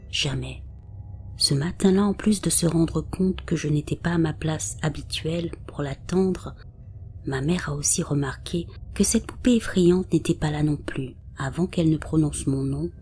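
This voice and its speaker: A woman reading scripted paranormal stories as a narrator, in a soft, half-whispered delivery. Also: low-pitched. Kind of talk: scripted narration read aloud. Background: faint background music.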